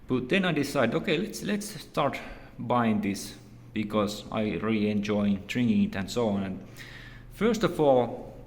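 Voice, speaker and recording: Slow, halting Finnish man in echoey room. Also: fairly low pitch, expressive, a little hiss or hum. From Finland. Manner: hesitant, halting, repetitive. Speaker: video reviewer. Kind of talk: casual unscripted product review. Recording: echoey room with long reverb.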